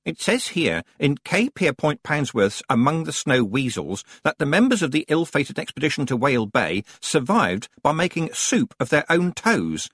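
A man's voice: fairly high pitch, big pitch swings, moderate pace, hardly any pauses, a bit bright, slightly rough, dry recording, clean studio sound, voice pushed up, heavily acted. A man voicing an earnest, bookish deputy librarian with a precise, matter-of-fact manner in a storybook reading. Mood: earnest, helpful.

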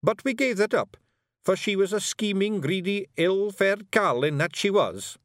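Rob Anybody, a loud Scottish fairy. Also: male voice, sits high, lively intonation, a little slow, no echo, studio quality, voice pushed up. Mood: boastful. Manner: loud, boisterous, cheerful. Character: tiny brash male fairy warrior chief.

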